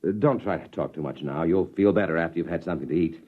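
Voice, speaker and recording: An actor playing a stubborn, emphatic American reporter in a 1950s radio drama. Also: male voice, deep voice. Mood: concerned, firm. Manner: brisk, emphatic, sceptical. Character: stubborn, ambitious male newspaper reporter. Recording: dull 1950s radio recording with music.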